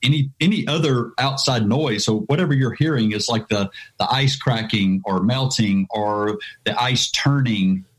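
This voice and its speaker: A man's voice: medium pitch, normal speed, few pauses. An American man, an adventure travel writer, being interviewed on a podcast, rambling with lots of "you know" fillers. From United States.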